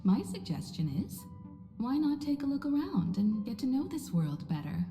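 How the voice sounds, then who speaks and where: A woman playing a calm, elegant, wise space navigator in a video game, composed, polite and deliberate. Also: a little high. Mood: calm, resolute. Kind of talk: video game story voice acting. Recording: roomy reverb, faint music behind.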